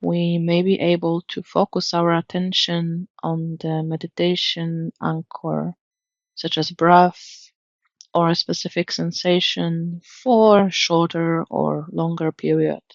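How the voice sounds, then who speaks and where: A woman leads a guided meditation at a very unhurried pace. Her voice has a noticeable creak and a gentle, soothing delivery. The recording is dry and close, with a slightly muffled tone. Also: fairly low pitch, little pitch movement, no echo. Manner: soothing, gentle, measured instruction.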